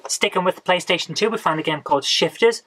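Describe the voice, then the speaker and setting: A woman, hoarse from a coming cold, hosting a casual retro game haul video. She rambles chattily and often ends with tag questions. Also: lowish voice, quick pace, a bit bright, a little hiss or hum. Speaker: retro gaming channel host.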